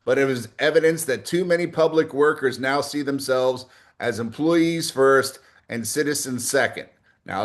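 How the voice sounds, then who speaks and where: Male political commentator, mid-range voice, blunt and opinionated, scripted monologue broken by casual asides and rhetorical questions. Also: mid-range voice.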